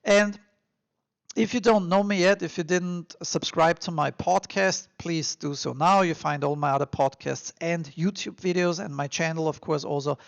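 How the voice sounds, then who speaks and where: A man's voice: fairly high pitch, moderate pace, vocal fry, dry recording, highs cut off. A man with an Austrian accent hosting a podcast, giving motivational advice in an emphatic, encouraging, informal way. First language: German. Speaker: podcast and YouTube host. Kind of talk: motivational advice monologue to listeners.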